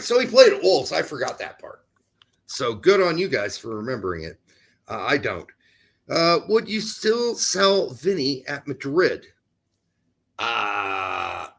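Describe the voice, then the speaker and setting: Male American soccer show co-host. Higher-pitched, creaky voice, blunt and opinionated, casual delivery. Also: a bit bright. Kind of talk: casual sports chat.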